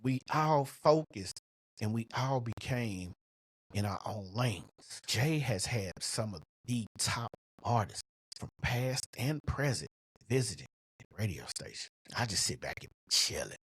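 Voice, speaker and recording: A middle-aged man with a husky voice hosting a motivational business podcast, speaking in a colloquial, emphatic, punchy way on a close microphone. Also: on the low side, sing-song, a little slow, dry recording. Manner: colloquial, emphatic, punchy delivery with rhetorical questions. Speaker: podcast host and coach.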